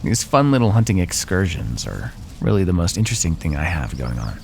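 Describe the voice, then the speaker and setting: A man's voice: sits low, very animated, a little fast, gravelly, a little hiss or hum, heavily acted. A man voicing a bored, teasing, menacing naga predator in an ASMR fantasy roleplay, speaking with casual, dry condescension. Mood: aloof, amused. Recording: echoey, long cave-like reverb.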